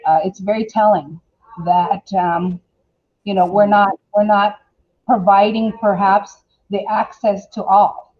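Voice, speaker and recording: A woman on a video call explains her point in a measured way, with some fillers. Her voice sounds a bit dull and lo-fi. She speaks at an ordinary pitch. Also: dark tone. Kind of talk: forum discussion.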